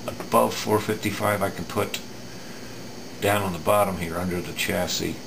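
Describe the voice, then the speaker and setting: A middle-aged man giving an unscripted hands-on repair demonstration in a halting, matter-of-fact way, recorded at an indoor workbench. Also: deep voice, creaky. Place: indoor home electronics workbench. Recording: steady room hum, air-conditioning noise.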